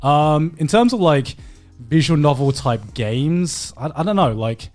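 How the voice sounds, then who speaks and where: A man co-hosting a podcast in casual chat, explaining things in a halting way with repeated starts. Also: a little high, animated, a little mellow, faint music behind. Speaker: podcast co-host.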